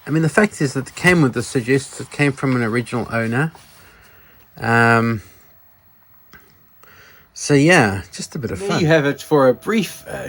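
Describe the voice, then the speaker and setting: A man's voice: fairly high pitch, fairly bright tone, a little hiss or hum. A man hosting a casual show-and-tell video, chatting and musing aloud as he demonstrates a product, often correcting himself. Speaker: video channel host.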